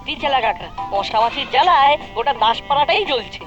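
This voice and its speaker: A woman's voice: high-pitched, expressive, fast talker, dull audio, music in the background. A woman voicing a mischievous ten-year-old boy, cheeky and wheedling, in a cartoon. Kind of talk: cartoon voice acting.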